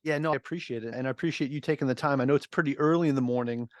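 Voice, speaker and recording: A man's voice: medium pitch, racing, no echo. Dry-sounding podcast host, jokey man. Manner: jokey and friendly, casual fillers. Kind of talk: conversational interview.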